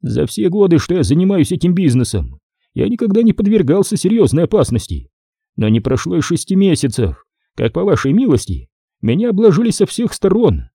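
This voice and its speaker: Man putting on a high-pitched, mockingly courteous villainous major. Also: very animated, a little fast, creaky, dark tone, slightly rough, no echo, clean studio sound, exaggerated voice. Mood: indignant, unhurried. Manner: mannered, mockingly courteous speech. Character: depraved, sardonic British major, haughty and polite villain.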